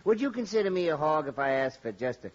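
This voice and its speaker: An actor in an old-time radio drama playing a droll, teasing army lieutenant. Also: male voice, fairly high pitch, very animated, quick pace. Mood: playful, teasing. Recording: dull old radio recording.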